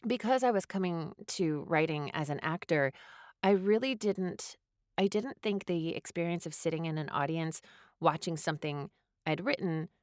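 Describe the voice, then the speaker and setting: A woman's voice: low-pitched, dry recording, studio quality. A woman answering interview questions as a guest, thinking aloud in hesitant, thoughtful phrases. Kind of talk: reflective interview conversation.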